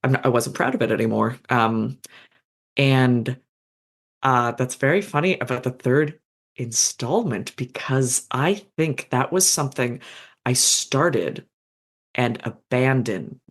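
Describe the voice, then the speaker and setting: A woman's voice: rumbling low, slow. A woman guest author chatting on a podcast, self-deprecating and full of fillers. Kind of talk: casual podcast interview.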